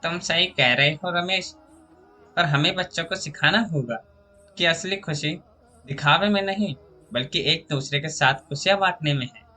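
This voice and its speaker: A man voicing a gentle, contented village mother in a moral story, reassuring and instructive. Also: fairly high pitch, normal speed, soft background music, voice pushed up.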